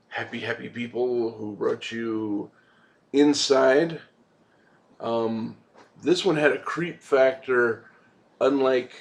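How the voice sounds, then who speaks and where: Man, medium pitch, casual movie reviewer, informal, rambling, laid-back vlog delivery.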